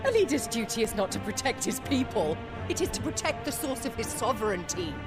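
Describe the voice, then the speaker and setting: A haughty middle-aged noblewoman, the fanatical villain of a fantasy game. Her delivery is imperious, disdainful and theatrical in a fairly high register. Music plays clearly behind her. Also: sing-song, a little fast. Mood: proud, fanatical. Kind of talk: dramatic video game cutscene acting. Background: orchestral music.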